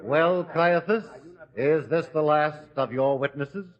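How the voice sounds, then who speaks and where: A defiant, righteous judge. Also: male voice, on the high side, a crowd in the background. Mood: indignant. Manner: indignant and deliberate. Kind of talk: vintage radio drama acting. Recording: dull old radio recording.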